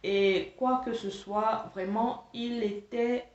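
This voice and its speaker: A woman's voice: a little high, fairly even intonation, takes it slowly. A woman telling a personal story to listeners in an exasperated, emphatic way, often repeating words as she searches for phrasing. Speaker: online coach telling a personal anecdote. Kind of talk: spoken storytelling to camera, recounting dialogue. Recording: echoey room, slightly dull lo-fi sound.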